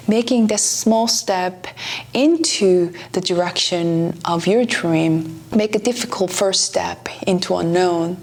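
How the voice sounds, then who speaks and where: Slow, low-pitched Korean-accented woman. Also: gravelly, darkish tone. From South Korea. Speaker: pianist and author. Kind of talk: reflective vlog talk to camera.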